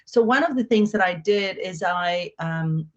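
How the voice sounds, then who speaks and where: Argentine-accented woman, chatty and low. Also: a little slow, lo-fi sound. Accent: Argentine Spanish. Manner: chatty, upbeat, frequent fillers and restarts. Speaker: real estate agent. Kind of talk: conversational interview.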